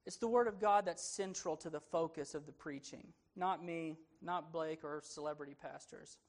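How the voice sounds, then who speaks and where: A man's voice: a little high, normal speed. A man preaching an expository sermon in a church, earnest and emphatic, his delivery rising and falling for emphasis. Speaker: pastor.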